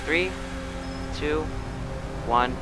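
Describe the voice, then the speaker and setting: A man's voice: fairly high pitch, drawn-out words, music in the background. A young man hosting a casual car review of a game vehicle, rambling with many restarts and fillers. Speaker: car review channel host.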